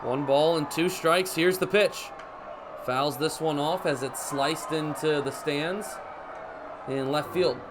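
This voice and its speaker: A young man calling baseball play-by-play for radio in crisp, descriptive phrases, as live sports commentary. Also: fairly high pitch, slow pace, background noise. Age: young adult. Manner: crisp, descriptive phrases with excited calls on big plays. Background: ballpark ambience.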